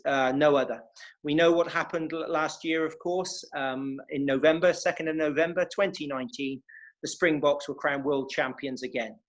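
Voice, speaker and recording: A man from England's West Country with a West Country accent, hosting a fundraising interview evening with enthusiasm. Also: a little high. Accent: West Country English. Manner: enthusiastic, welcoming. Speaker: interviewer and host.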